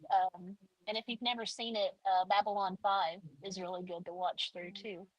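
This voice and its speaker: A woman's voice: medium pitch, little pitch movement, normal speed, dry recording, muffled recording. A woman guest author chatting in an interview, hesitant, with many fillers. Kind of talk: casual interview chat.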